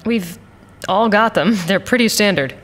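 An actress playing a calm, wry, practical squad leader in an audio drama, with a dry, measured manner. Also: female voice, medium pitch, slight background noise, close to an everyday voice.

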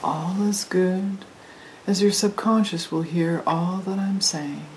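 A woman leading a guided meditation as a hypnotic induction, soothing and often dropping to a breathy near-whisper. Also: lowish voice, takes it slowly. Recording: hissy recording with steady noise.

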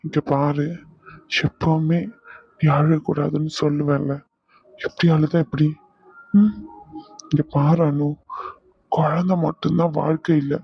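A man's voice: fairly high pitch, little pitch movement, unhurried, vocal fry, long pauses, slightly rough, no echo, dull audio, exaggerated voice. A man playing an anguished, tender husband in a domestic drama, pleading and soothing his wife with endearments. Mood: tender, pained. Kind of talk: emotional domestic audio drama.

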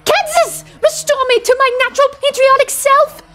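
A woman's voice: very high voice, fairly even intonation, normal speed, fairly bright tone. A woman voicing bratty, squabbling villain underlings in an amateur comedic cartoon redub, theatrical and exclamatory. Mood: frantic. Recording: music behind the voice.

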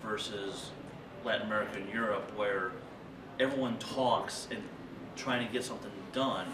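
A man in his thirties from rural Illinois, speaking in an animated, loose conversational way at a workshop table in a meeting room. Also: on the low side, normal speed. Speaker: invited speaker. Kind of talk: conference workshop talk. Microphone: far camera. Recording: echoey room from far off, noisy.

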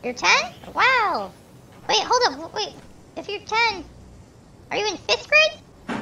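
A man voicing a naive, whiny eight-year-old boy gamer through a pitch-raising voice changer while playing online. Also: huge pitch swings, slow, creaky, background noise, full character voice. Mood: innocent, naive. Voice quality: squeaky, artificially pitched-up. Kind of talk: prank gaming chat.

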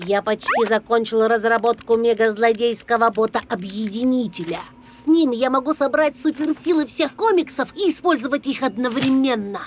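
A woman's voice: on the high side, normal speed, few pauses, noisy background, close to an everyday voice. A woman voicing a geeky little boy creature in a children's cartoon, boastfully and theatrically playing a supervillain. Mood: boastful villainy.

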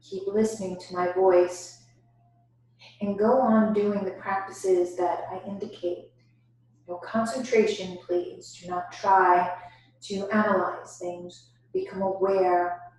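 A woman leading a guided yoga nidra meditation as instructor, giving deliberate instructions broken by pauses. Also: mid-range voice, a little mellow, a little hiss or hum. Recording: very echoey room, distant microphone.

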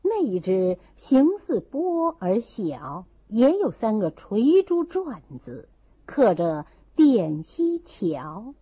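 Woman reading an old novel aloud, slow n a bit husky, dry dull sounding recording. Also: fairly high pitch, sing-song, mellow, dry recording. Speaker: audiobook reader. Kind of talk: dramatized classic novel reading. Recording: slightly dull, muffled recording.

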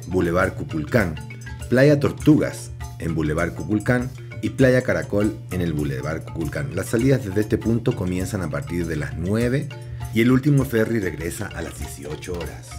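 Deep-voiced man reading travel narration. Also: normal speed. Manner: calm, informative. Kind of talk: scripted travel voice-over. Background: music.

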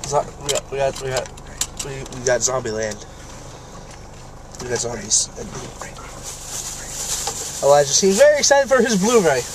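A man's voice: medium pitch, animated, normal speed, long pauses. A young man chatting casually inside a car, picked up by a handheld camera. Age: young adult. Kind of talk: casual chat. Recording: distant mic, noisy car interior.

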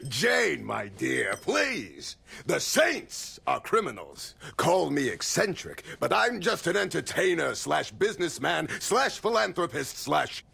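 A man playing a smug, theatrical wrestler crime boss in a video game, with a gravelly, husky voice and pompous phrasing. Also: fairly high pitch, sing-song, takes it slowly, pushed and bright, heavily acted. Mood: smug, suave. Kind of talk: video game cutscene acting.

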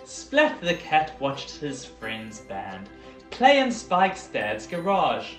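Someone reading a children's story aloud in an expressive, deliberate way, leaning into the sound words. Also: medium pitch, soft background music. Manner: animated, deliberate, emphatic on dialogue and sound words. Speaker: volunteer storybook reader. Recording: roomy echo.

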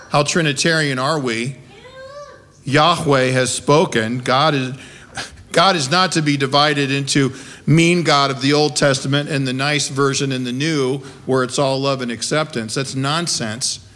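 Higher-voiced American man preaching. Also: moderate pace, pushed and bright. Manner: conversational, emphatic, rhetorical questions. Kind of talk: sermon. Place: wooden-walled small church, at a pulpit. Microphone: gooseneck pulpit microphone.

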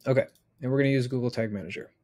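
A man in his thirties giving a casual software tutorial, informally thinking aloud as he goes step by step. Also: mid-range voice, very fast. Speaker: instructor.

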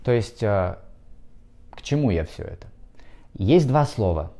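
A man in his thirties with a Russian accent, teaching English pronunciation to camera on a lapel mic in a bright room. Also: lowish voice, sing-song. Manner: explains slowly, switches between languages, models pronunciation. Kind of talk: video lesson to camera.

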